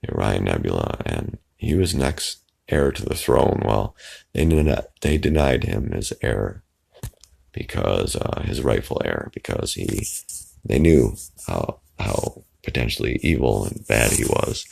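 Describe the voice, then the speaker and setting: A man speaks in an extremely low, gravelly voice, hoarse and husky throughout. He rambles casually into his phone's microphone, wandering off track and filling the gaps with "uh" and "um". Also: lively intonation, a little slow, darkish tone. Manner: meandering, trails off with many fillers. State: hoarse and raspy. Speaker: video blogger. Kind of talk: rambling casual monologue to camera.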